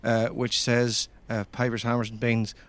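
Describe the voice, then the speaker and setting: A man's voice: mid-range voice, monotone, moderate pace, fairly bright tone, dry recording, lightly acted. Timid, stammering Irish shopkeeper, acted by a man. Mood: nervous, eager. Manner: hesitant, stammering. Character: timid, stammering male village hardware shopkeeper.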